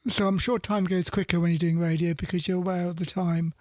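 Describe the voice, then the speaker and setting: A man's voice: sits high, level tone, a little fast, a little mellow, no room sound. A man presenting a radio show casually, chatty and rambling, often correcting himself mid-sentence. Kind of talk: casual radio presenting between soul songs.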